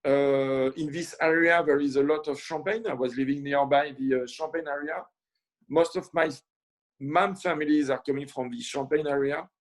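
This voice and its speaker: A man's voice: on the high side, steady tone, rough recording. A middle-aged man from France with a French accent, a chef talking about his career in a casual interview, full of fillers and restarts. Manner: halting, many fillers and restarts. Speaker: chef and guest.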